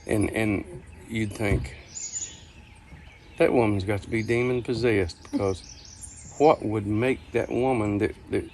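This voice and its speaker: Gravelly older man telling stories. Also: on the low side, fairly even intonation, a little mellow, slight background noise. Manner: folksy, unhurried, halting. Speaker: storyteller. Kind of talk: casual reminiscing storytelling. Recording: outdoor ambience with birdsong behind. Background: birdsong, outdoor ambience.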